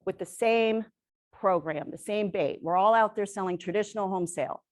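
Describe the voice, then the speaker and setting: A woman presenting to a room of real estate agents, energetic and quizzing the audience, who says she is losing her voice. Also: medium pitch, moderate pace. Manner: energetic, asks the audience questions, tags lines with "right?". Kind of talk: sales presentation to a live audience.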